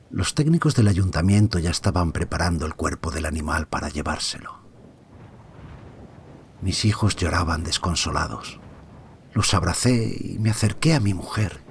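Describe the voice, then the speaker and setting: Low, brooding anxious father narrator. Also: creaky, a little hiss or hum. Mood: subdued. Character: anxious married father, first-person narrator of a mystery. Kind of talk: audio fiction first-person dramatic narration.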